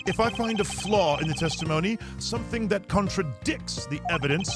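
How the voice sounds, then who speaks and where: A man voicing a composed, analytical prosecutor in a video game, speaking formally and precisely with a haughty, deliberate manner. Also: high-pitched, expressive, music in the background, pitched above the performer's own voice. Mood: confident, instructive.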